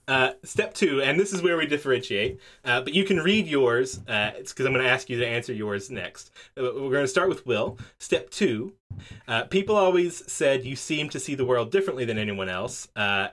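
A man acting as game master for a tabletop game, explaining the rules casually with lots of fillers and quick laughs. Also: medium pitch. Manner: lively, laughs easily, many fillers. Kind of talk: running a tabletop game session.

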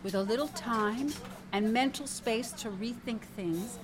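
Actress as a wry, harried woman, higher-pitched. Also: measured pace, crowd noise, close to an everyday voice. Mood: grateful, rueful. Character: wry, harried woman, broke and sharp-tongued bar worker. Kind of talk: radio comedy drama acting.

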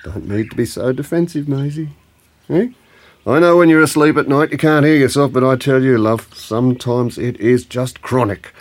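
A man's voice: mid-range voice, normal speed, natural voice. A man playing a blunt, teasing old Australian grandfather in a naturalistic audio drama. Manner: blunt colloquial speech, teasing, ends phrases with "eh".

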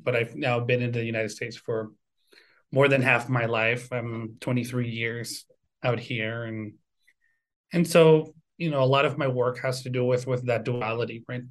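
A man from Venezuela, around his forties, speaking reflectively as an interview guest and often repeating words as he thinks. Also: mid-range voice. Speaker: composer and university music teacher, guest.

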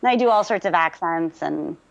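Woman voice actor with a low pitch, a lively chatterbox, on a slightly muffled recording. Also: fairly quick, vocal fry. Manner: lively and talkative, with many fillers. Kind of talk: casual interview chat.

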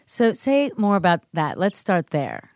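A woman's voice: lowish voice, big pitch swings, measured pace, a little mellow, no room sound, studio quality. A woman hosting an interview in a conversational way, asking open questions. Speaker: host, interviewer.

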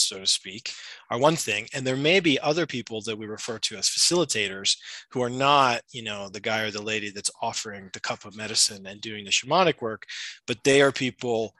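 A man's voice: medium pitch, bright, forceful tone, no room sound, poor audio. A middle-aged man from the United States, a shamanic practitioner, answering interview questions in a thoughtful way with many fillers and restarts. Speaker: shamanic practitioner and retreat facilitator. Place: Peru.

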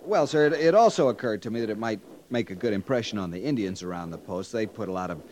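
An actor plays a courteous, earnest young army lieutenant in a 1950s radio drama. His voice sits fairly low but moves expressively, with gentle formality. A faint hiss runs under the old recording. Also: lively intonation, fast talker, lightly acted. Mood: flustered, deferential. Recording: hissy vintage radio recording.

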